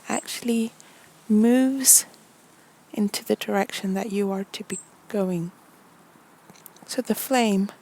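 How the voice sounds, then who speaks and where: A woman narrating a guided meditation story in a soothing, deliberate way, with a soft, breathy voice that often nears a whisper and many pauses between short phrases. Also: mid-range voice, slight background noise, rough recording.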